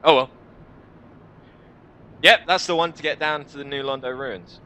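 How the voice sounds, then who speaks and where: A man's voice: medium pitch, moderate pace, pushed and bright, clear, resonant tone. A man hosting a gaming video, reading visual novel narration dramatically and adding casual commentary.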